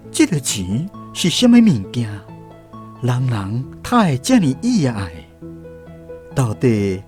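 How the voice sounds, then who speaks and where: Radio drama: earnest, troubled seeker, slow and questioning, widely swinging intonation, music underneath. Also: male voice, medium pitch, darkish tone. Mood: pondering, troubled. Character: earnest, troubled lost man searching for peace. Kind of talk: Taiwanese radio drama acting.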